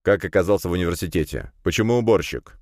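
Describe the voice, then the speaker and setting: A man's voice: deep voice, fast talker, darkish tone, no room sound, clean studio sound. A man voicing a stern middle-aged head of a noble clan in an audiobook, curt and coldly threatening.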